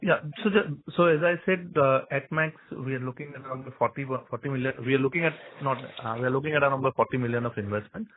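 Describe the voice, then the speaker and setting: A man's voice: mid-range voice, quick pace, hardly any pauses. A man with an Indian accent giving matter-of-fact answers as a finance executive on an earnings call, restating his points. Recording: dry, tinny phone line.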